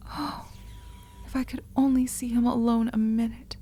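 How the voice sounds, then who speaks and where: An actress playing an anguished, stubbornly devoted young daughter in a melodramatic radio drama. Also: female voice, fairly high pitch, level tone, moderate pace, dark tone, lightly acted. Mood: anxious. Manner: earnest, intense.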